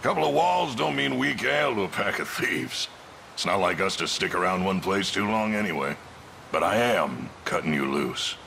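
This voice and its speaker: Deep, gravelly bandit captain. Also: male voice, expressive, moderate pace, sharp tone, slight background noise, heavily acted. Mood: frank, easygoing. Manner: folksy, rough colloquial speech. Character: gruff male bandit captain, fatherly leader of thieves. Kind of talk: scripted video game voice acting.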